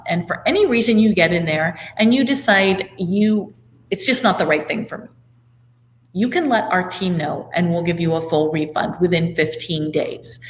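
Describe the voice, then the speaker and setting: A woman's voice: fairly low pitch, a little hiss or hum. A middle-aged woman co-hosting an online course webinar, presenting the offer in a conversational sales style. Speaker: co-host, business partner presenting a course. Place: indoor room at home, video call.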